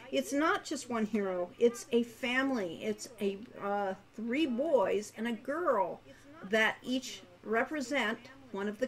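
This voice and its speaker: American woman, higher voice, halting and rambling. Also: a bit bright. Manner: halting, rambling, thinking aloud. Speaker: game designer and co-writer, livestream host. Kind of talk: casual livestream chat. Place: home room. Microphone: webcam-style setup, no headset, at desk distance.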